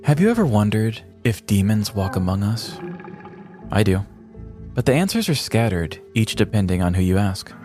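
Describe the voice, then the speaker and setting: Lowish-voiced American man hosting a podcast. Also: big pitch swings, moderate pace, a little mellow, soft background music. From small-town Missouri, US. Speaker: podcast co-host. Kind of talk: casual podcast chat and scripted storytelling.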